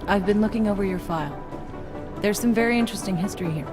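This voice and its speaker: An actress playing a calm, probing doctor who questions a patient in a game cutscene. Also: female voice, mid-range voice, fast, lightly acted. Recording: game cutscene with loud music.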